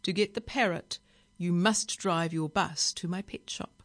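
A woman's voice: fairly low pitch, measured pace, lightly acted. A woman voicing a helpful, persuasive male pet shop owner in a storybook. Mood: matter-of-fact.

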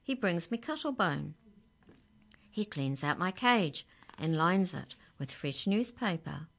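A woman voicing a small talking budgie in a storybook, high-pitched, earnest and insistent. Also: big pitch swings, slow pace, creaky, fairly bright tone, heavily acted. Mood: earnest, steadier. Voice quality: high-pitched, squeaky cry. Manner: agitated, insistent.

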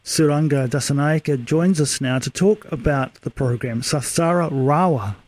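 A man hosting a community radio interview, asking friendly, measured questions. His voice sits a little high for a man. The sound is dry and close, with no room echo. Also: a little mellow.

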